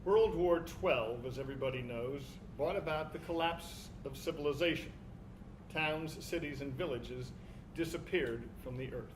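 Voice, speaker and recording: A man's voice: fairly high pitch, moderate pace, background noise. A man narrating a literary parable in a live dramatized reading. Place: live staged reading in a room.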